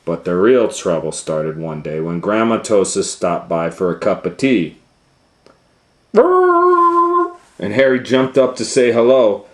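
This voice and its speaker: A man with a deep voice reads a picture book to children at a slow, unhurried pace. He hosts the storytime in an animated way and often steps out of the text for casual, chatty asides. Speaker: storytime reader and host.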